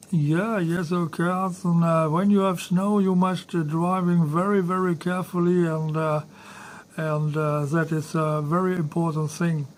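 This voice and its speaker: Halting Dutch-accented man, higher-pitched voice. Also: little pitch movement, a little hiss or hum. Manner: halting, hesitant, polite. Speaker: amateur radio operator. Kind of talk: casual ham radio contact.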